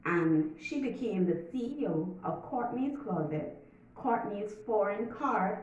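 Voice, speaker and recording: A young woman with a Bahamian accent talks to her viewers in a chatty, emphatic way, stretching out her asides. Her voice sits at an ordinary middle pitch. It sounds strongly echoey and slightly dull, recorded from a distance. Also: lively intonation, a little slow, a little mellow. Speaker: YouTuber. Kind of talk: casual vlog talk, partly reading notes.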